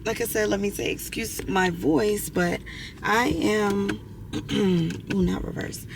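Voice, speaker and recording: A hoarse, gravelly woman chats slowly and loosely to her camera, pausing to clear her throat. Loud traffic rumbles behind her outdoors. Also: fairly even intonation. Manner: chatty, rambling with many fillers and throat clearing. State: hoarse, recovering from lost voice. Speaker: vlogger. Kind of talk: casual chat to camera.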